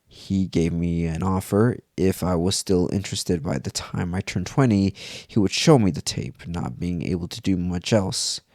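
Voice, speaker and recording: A man's voice: low voice, moderate pace, darkish tone, no room sound, clean studio sound. A man narrating a scripted first-person horror story as if it were his own account.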